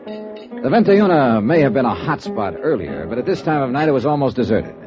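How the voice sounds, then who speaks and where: An actor playing a wry, hard-boiled investigator, clipped and probing, in 1950s radio drama style. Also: male voice, mid-range voice, very animated, fairly quick, lightly acted. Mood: wry, scene-setting. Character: wry, hard-boiled male investigator narrating his case. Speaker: lead detective. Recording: muffled old radio recording, music behind. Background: music.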